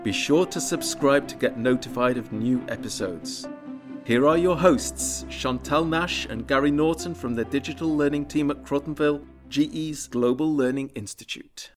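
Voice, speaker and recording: A man reads the podcast opening in a smooth, measured way. He has a mid-pitched voice. Clear music plays behind him. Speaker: podcast announcer. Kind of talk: scripted announcement. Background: background music.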